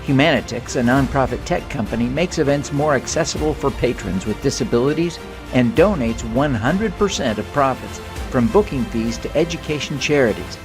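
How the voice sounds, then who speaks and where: Male show host, mid-pitched, enthusiastic, reflective, uses fillers. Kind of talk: podcast interview host.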